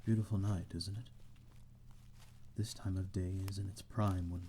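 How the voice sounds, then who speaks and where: A man's voice: low-pitched, steady tone, moderate pace, dark tone, roomy sound, a little hiss or hum, close to an everyday voice. A man playing a courteous, lonely vampire lord in an ASMR roleplay, speaking in a genteel, formal and slightly hesitant manner. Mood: serene, refined. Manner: genteel, formal, hesitant, old-fashioned wording. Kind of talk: ASMR audio roleplay, amateur drama.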